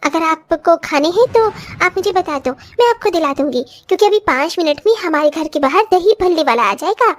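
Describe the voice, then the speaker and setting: A woman's voice: very high-pitched, lively intonation, a little fast, few pauses. A woman voicing an eager, dutiful young village daughter-in-law in a cartoon story, sweet and reassuring. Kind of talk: Hindi cartoon story voice acting.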